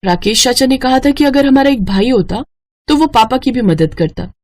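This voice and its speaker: A woman's voice: on the high side, lively intonation, normal speed, a little mellow, voice pushed up. A woman voicing an earnest, determined young village girl in a cartoon story.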